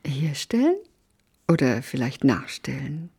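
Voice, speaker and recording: Female secretary, low pitch, breathy, slow-paced, playful, teasing film dialogue. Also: very animated, dark tone, full character voice. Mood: teasing, intimate. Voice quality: breathy, husky. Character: flirtatious, teasing female small-town secretary. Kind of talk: naturalistic film acting.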